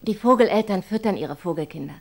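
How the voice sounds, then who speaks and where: A woman's voice: mid-range voice, expressive, fast, creaky. Practical, instructive radio-play mother. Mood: patient, explaining. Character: busy, practical mother of a young schoolboy. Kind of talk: natural radio drama dialogue.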